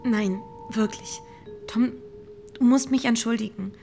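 High-voiced, timid, pleading young woman. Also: fairly even intonation, a little slow, creaky, warm tone, faint music behind. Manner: hesitant, pleading. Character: timid, anxious young poor relation, pleading. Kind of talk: radio drama acting.

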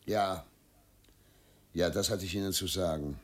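An actor playing a troubled, middle-aged governor and father in a radio play, dutiful and self-justifying, speaking in a formal, halting way. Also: deep voice. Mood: hesitant, instructing. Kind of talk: German radio play acting.

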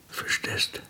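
An older man with an Austrian accent telling a reflective personal story in a halting, colloquial way with little questioning tags. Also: pushed and bright. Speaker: former prisoner telling their life story. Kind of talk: reflective autobiographical monologue.

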